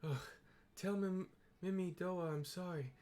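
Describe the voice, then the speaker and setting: A man voicing a desperate, spellbound sailor in a video game, pleading in halting repetitions. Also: high voice, mellow, voice pushed up. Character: desperate, spellbound male sailor begging for release.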